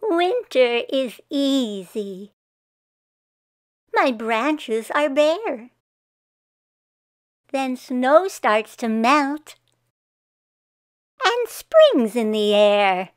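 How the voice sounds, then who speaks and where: An earnest female tree from a children's picture book , voiced by a woman reader. She speaks her rhymes very slowly and deliberately, with a high, expressive voice that swings up and down. She is easily exasperated, and the sound is close and dry. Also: no room sound, heavily acted. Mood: contented. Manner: slow, deliberate, expressive rhymed storybook reading.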